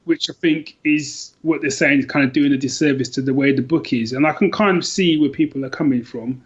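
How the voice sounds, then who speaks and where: Male podcast guest, mid-to-low pitch, informal book talk, slightly lo-fi sound. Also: a little fast, husky. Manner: informal and colloquial. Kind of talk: casual book chat.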